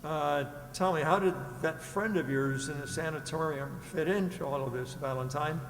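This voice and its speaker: A man acting a brisk, genial customs officer in an old-time radio drama. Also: fairly high pitch, noisy background, lightly acted. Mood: curious. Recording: echoey reverberant hall recording.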